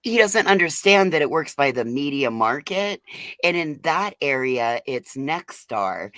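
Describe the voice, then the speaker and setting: A woman with a strikingly deep voice sets the others straight on a live panel. Her pitch swings widely as she explains, and the sound is dry and close. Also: a bit bright, dry recording. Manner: firm, corrective explaining. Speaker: livestream panelist. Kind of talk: heated panel chat.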